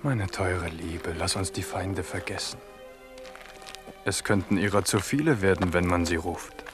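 A man's voice: sits low, measured pace, over music. An actor playing an ardent, weary but resolute French king in a German radio drama. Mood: tender, hushed.

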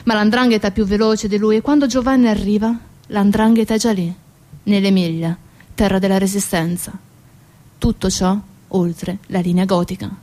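A woman narrating a dramatic theatre monologue, solemn and deliberate, in short measured phrases. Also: mid-range voice, steady tone, mellow. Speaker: stage actress narrating.

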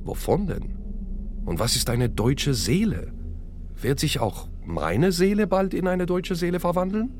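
A man narrating a scripted first-person radio documentary, with deliberate, pointed phrasing. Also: on the high side, animated, noisy background. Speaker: narrator and author of a radio play.

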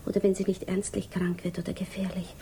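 Woman acting anxious young widow, dark-toned mid voice, intense inner monologue, old radio play. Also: mid-range voice, flat delivery, quick pace, lightly acted. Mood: anxious brooding. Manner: murmured inner monologue with urgent outbursts. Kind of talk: 1950s German radio drama acting. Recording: faintly hissy 1950s radio recording.

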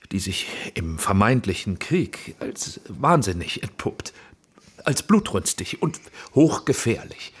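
An actor playing a courtly, flattering film director in a radio drama, speaking theatrically with dramatic pauses. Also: male voice, on the low side, husky, exaggerated voice. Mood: pleading, persuasive. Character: Austrian male film director, courtly, flattering, persuasive visionary.

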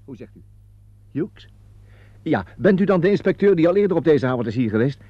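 Flustered, slightly high-voiced caller. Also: male voice, very animated, fairly quick, no room sound. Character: flustered man telephoning the police. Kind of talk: radio play acting. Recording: dull, muffled old radio play recording.

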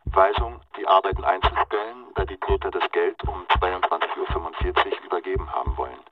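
An actor playing an impersonal police official who reads a timed incident log in clipped, bureaucratic phrases for a radio drama. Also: male voice, sits low, brisk, faint music behind, close to an everyday voice. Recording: dull, distant, lo-fi sound.

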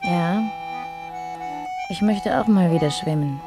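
A woman's voice: lowish voice, slow, music in the background. An actress in a German radio play, playing a guarded, restless wife who fusses firmly over her husband.

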